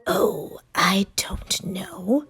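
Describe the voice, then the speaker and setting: A woman's voice: mid-range voice, expressive, extremely slow, gravelly, a bit bright, husky, in a whisper, faint music behind, full character voice. Woman doing drawling, whiny scruffy monster Mac. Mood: mock menacing. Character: Mac, big scruffy slurping boy monster, whiny, would-be terrifying. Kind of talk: children's storybook character voice.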